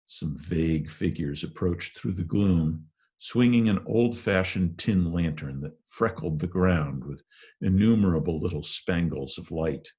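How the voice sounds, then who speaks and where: A very low-pitched man reads classic fiction aloud. His voice is strongly husky and rough, and he tells the story in a measured, expressive way. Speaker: reader. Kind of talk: audiobook-style narration of a classic novel.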